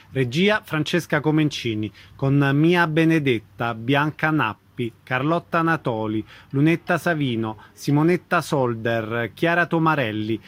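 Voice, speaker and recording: A man's voice: mid-range voice, a bit bright. A man reading a scripted radio programme announcement in a formal, informative way.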